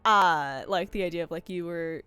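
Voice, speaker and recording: A woman's voice: on the low side, normal speed, bright, forceful tone, clear, resonant tone, dry recording, faint music behind. A woman talking casually about video games as a stream host, digressive and often interrupting herself. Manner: rambling, digressive, full of self-interruptions. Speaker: host of a game discussion stream.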